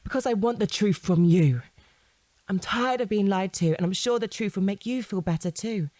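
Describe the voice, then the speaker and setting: Actress as sceptical, probing wife, close and dry. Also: female voice, mid-range voice, moderate pace, warm tone, no echo, close to an everyday voice. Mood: weary, insistent. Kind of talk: naturalistic audio drama dialogue.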